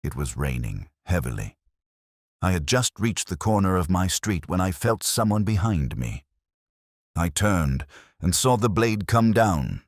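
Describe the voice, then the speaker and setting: A man's voice: deep voice, big pitch swings, unhurried, dry recording, studio quality, voice pushed up, heavily acted. A man voicing a tense, guarded society widow, a frightened woman, in an audiobook. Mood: shaken. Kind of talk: audiobook character dialogue.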